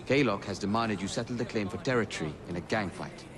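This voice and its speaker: A man playing a measured, courteous Victorian spymaster and helpful ally in a video game cutscene, slightly hesitant. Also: fairly low pitch, a crowd in the background, lightly acted. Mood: businesslike.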